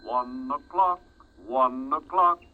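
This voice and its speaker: An actor playing an impassive automated house voice that chants the hour in a repetitive singsong, in old radio drama style. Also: male voice, mid-range voice, lightly acted. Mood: impassive, chanting. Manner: slow singsong repetitive announcing. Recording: tinny band-limited old radio, music behind.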